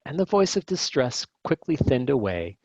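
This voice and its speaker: A man reads a story aloud in a medium-pitched voice. He phrases it deliberately, clause by clause, as a storybook narrator. The sound is dry and close, with a somewhat dull, lo-fi finish. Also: sing-song, darkish tone, no echo. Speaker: volunteer audiobook reader.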